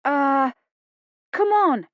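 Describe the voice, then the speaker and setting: A woman's voice: sits high, animated, very slow, a bit bright, dry recording, voice pushed up, exaggerated voice. A woman voicing a pushy, scheming young country woman who urges and wheedles. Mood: urging. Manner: urging, wheedling. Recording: dull lo-fi audio.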